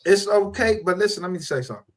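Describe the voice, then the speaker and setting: A man's voice: sits high, lively intonation, quick pace. A man in his fifties chatting casually as a panelist on a livestream. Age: 50s. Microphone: streaming call.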